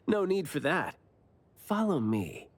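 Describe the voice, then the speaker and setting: A man's voice: sits high, sing-song, takes it slowly, exaggerated voice. An actor voicing a laid-back, glib male captain in an anime game, teasing and breezily justifying himself. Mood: playfully smug.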